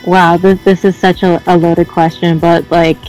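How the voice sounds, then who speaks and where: A woman's voice: on the low side, little pitch movement, normal speed, darkish tone, faint music behind, poor audio. A woman from Canada with a Canadian English accent, a guest in a casual conversation who speaks passionately and often restarts while searching for words.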